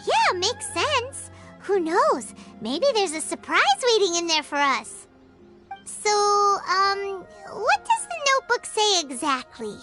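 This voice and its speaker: A tiny, inquisitive fairy sidekick from a video game, with an extremely high, squeaky voice that swoops up and down. The delivery is chirpy, childlike and exaggerated, over loud music. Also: female voice, wildly swooping pitch, takes it slowly, exaggerated voice. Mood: curious. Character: small childlike floating fairy companion, curious and perky. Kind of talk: English video game voice acting. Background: loud playful game music.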